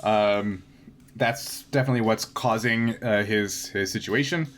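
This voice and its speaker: A man acting as game master of a casual tabletop sci-fi game, explaining things in a halting, hesitant way. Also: lowish voice, a bit bright, a little hiss or hum.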